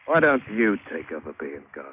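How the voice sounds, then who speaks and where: A crafty British adventurer with a lowish, swooping voice, wry and colloquial. He tells his tale like a working-class rogue in old radio drama. The recording is tinny and narrow. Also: sing-song, fairly quick, slight background noise. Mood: crafty, bargaining. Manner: colloquial, wry storytelling with folksy grammar. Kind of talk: old-time radio drama narration and dialogue.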